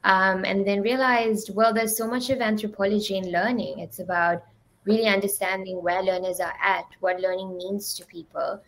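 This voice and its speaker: A young woman from Sri Lanka, a guest on a video call, talking softly and hedging often with fillers. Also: mid-range voice, fairly even intonation, normal speed, poor audio. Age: young adult. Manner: soft-spoken, many ums and hedging "sort of". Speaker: guest, learning anthropologist. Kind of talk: casual video-call chat. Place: home room. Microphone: video call, laptop mic.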